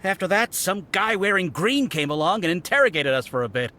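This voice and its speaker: High, defensive mercenary thug. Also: male voice, lively intonation, fairly quick, bright, forceful tone, slight background noise. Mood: confused, aggrieved. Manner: defensive, pleading. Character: beaten, whining hired mercenary thug, defensive lackey.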